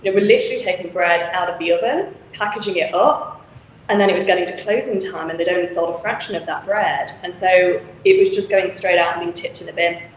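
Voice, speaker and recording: A woman's voice: on the low side, fast. A woman from Manchester, a charity founder speaking frankly and chattily as an interview guest over a video call. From Manchester, England. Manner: frank, chatty, laughs often. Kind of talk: conversational interview. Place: charity office with volunteer lockers. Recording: echoey room with faint noise.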